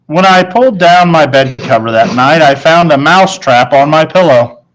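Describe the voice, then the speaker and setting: A man's voice: a little high, lively intonation, normal speed, a bit bright, low-quality recording. A man reading a children's novel aloud as its first-person narrator. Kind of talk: read-aloud story narration.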